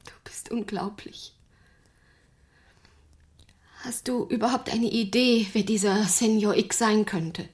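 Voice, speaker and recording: A woman's voice: mid-range voice, steady tone, slow, pauses often, darkish tone, lightly acted. An actress playing the shaken, incredulous female client of a detective in a radio crime drama. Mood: hushed worry.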